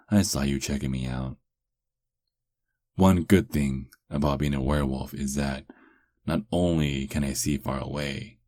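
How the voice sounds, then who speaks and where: A man playing a sarcastic, flirtatious captive werewolf in an ASMR roleplay, teasing with lingering pauses. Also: extremely low pitch, a little mellow, no room sound, clean studio sound. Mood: smug, flirtatious.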